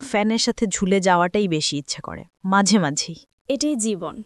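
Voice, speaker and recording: A woman's voice: mid-range voice, lively intonation, moderate pace, no echo, studio quality. A woman of twenty-three with a Bangladeshi Bengali accent, telling her personal life story as an interview guest.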